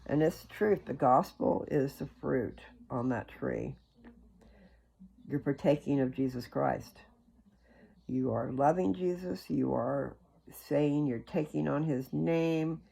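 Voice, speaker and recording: A husky voice with plenty of gravelly creak, set at a medium pitch. The speaker hosts an informal religious talk, earnest and folksy. The delivery rambles, drifting into asides and returning to the message. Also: slow pace, mellow, poor audio. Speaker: religious video host.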